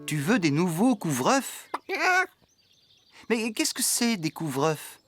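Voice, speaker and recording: A man's voice: on the high side, takes it slowly, full character voice. A man voicing a friendly, forgetful farmhand in a children's cartoon, eager to please and slightly flustered. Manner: animated, good-natured, slightly flustered. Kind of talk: French children's cartoon dubbing. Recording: background music under the voice.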